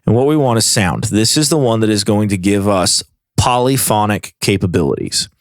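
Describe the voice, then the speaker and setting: A man presenting a software tutorial in a casual, informal way, explaining things step by step. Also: lowish voice, lively intonation, normal speed, dry recording, studio quality.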